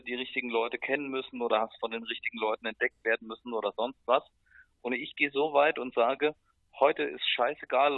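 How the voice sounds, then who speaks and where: A man speaking casually and colloquially as a musician in an interview, with hesitant pauses and filler sounds. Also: medium pitch, no room sound, phone-quality sound. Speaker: metal band musician, interview guest.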